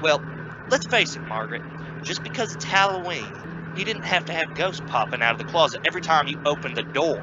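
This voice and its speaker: A man's voice: on the high side, expressive, brisk, natural voice. A man playing an exasperated, sarcastic father of three in an old-time radio comedy. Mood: grumbling. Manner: sarcastic, scolding. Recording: dull, noisy home-made remote recording.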